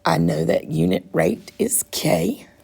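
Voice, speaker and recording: A woman with a congested, raspy, froggy throat, patiently walking students through a math quiz step by step as their teacher. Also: fairly low pitch, takes it slowly, dark tone. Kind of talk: recorded math lesson walkthrough.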